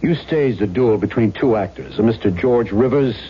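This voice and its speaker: A husky, low-voiced police lieutenant. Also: male voice. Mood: businesslike. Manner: sardonic, plain-spoken, businesslike, hesitant pauses. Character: dry, wry male police lieutenant, patient but increasingly exasperated. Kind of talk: 1970s radio drama acting. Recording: dull vintage radio broadcast recording.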